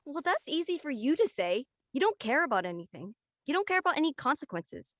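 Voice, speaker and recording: A woman acting a stressed, studious high school girl in a dramatic read, serious and testy. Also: very animated, a little fast, fairly bright tone, dry recording, clean studio sound. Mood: angry, defensive. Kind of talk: online dramatic table read.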